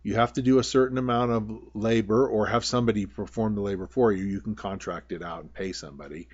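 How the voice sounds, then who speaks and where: A man's voice: lowish voice, steady tone, fast, lo-fi sound. An American man giving an informal, plainspoken instructional talk over slides, explaining things with some hesitant phrasing. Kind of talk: informal slide-show lecture.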